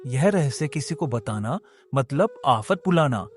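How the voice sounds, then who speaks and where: A man's voice: mid-range voice, lively intonation, measured pace, soft background music. A man playing an honest, humble young villager in a cartoon story, earnest and deferential. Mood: fearful. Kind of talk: Hindi cartoon story voice acting.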